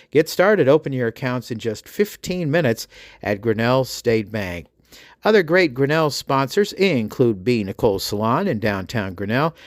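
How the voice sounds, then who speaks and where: A man's voice: medium pitch, no room sound, studio quality. An American man hosting a podcast in a folksy, chatty way, reading sponsor messages and interviewing guests. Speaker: podcast host. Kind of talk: sponsor reads and conversational interviewing.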